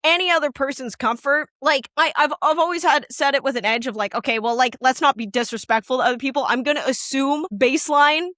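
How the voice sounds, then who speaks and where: A young woman from Canada, a musician guest, talking candidly in a casual interview with a loud, piercing voice and lots of rambling fillers. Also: high voice, fairly quick, few pauses, no echo, clean studio sound.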